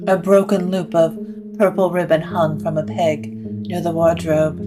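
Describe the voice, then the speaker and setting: A woman narrating a scripted gothic story in a measured, literary way. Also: lowish voice, steady tone, music in the background.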